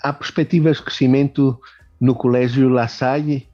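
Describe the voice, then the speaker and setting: Dark-voiced male host, dull lo-fi audio. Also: medium pitch, flat delivery, normal speed, faint music behind. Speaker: interviewer, host. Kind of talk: interview questions.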